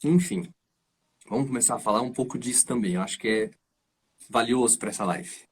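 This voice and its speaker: Brazilian guy hosting a live, kinda husky voice, middle pitch, explains stuff casually. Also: a little fast. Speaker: live show host and voice coach.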